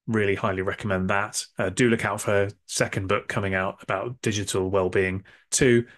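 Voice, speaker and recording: A man's voice: on the low side, steady tone, normal speed, a bit bright. A man in his forties hosting a video call panel, upbeat and full of "uh" and "um" fillers. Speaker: podcast host.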